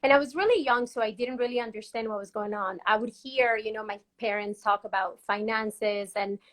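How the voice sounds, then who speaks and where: A woman's voice: fairly high pitch, a little fast. A young woman from Peru, a guest in a casual video-call interview, chatty with lots of fillers and laughs. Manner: chatty, laughs often, many fillers and restarts.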